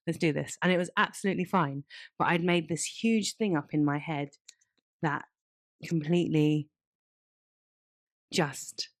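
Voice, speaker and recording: A woman's voice: low voice, dry recording, clean studio sound. A woman co-hosting a casual podcast, talking informally and candidly with some self-deprecation. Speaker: podcast co-host.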